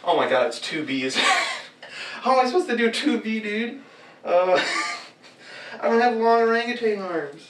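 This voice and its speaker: A man's voice: high-pitched, animated, unhurried, fairly bright tone, slight background noise. Giggly young man in an echoey room. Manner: giggly and self-mocking, chatty. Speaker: cosplayer filming fighting-game moves. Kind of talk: casual chat while acting out moves. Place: green screen room indoors. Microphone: far camera mic. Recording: echoey room, mic set far off.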